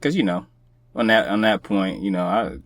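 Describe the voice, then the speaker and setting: A man's voice: lowish voice, normal speed, dry recording. A man talking casually as a guest on a podcast. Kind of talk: casual chat.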